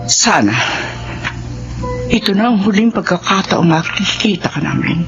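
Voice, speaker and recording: A woman's voice: medium pitch, unhurried, lightly acted. Woman playing a firm, sorrowful betrayed mother. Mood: firm pleading. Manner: pleading yet firm. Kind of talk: Filipino radio drama acting. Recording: muffled radio drama with music underneath.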